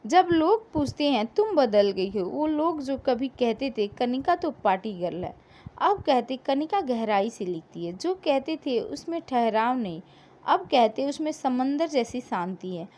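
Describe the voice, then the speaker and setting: Female narrator, high voice with very lively intonation, dramatic scripted storytelling, emotive and measured with pauses.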